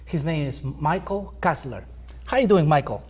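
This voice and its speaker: A man hosts an interview in a small school studio, his voice sitting a little high. He talks haltingly, repeating words as he works his way into each question. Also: expressive, husky. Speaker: talk show host. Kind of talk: interviewing a guest.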